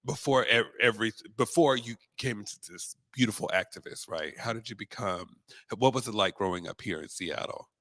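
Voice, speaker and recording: A man's voice: on the low side, a little fast, a bit bright, no room sound. A man hosting an interview, upbeat and affectionate, laughing easily with his guest. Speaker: host.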